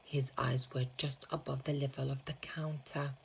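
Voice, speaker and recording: A woman's voice: very deep voice, little pitch movement, normal speed, vocal fry, a little hiss or hum. A woman reading a children's storybook aloud as narration. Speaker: teaching assistant reading aloud.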